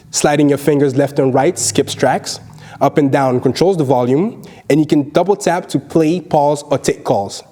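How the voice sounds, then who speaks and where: A man's voice: a little high, warm tone. A man narrating a scripted product review as its presenter.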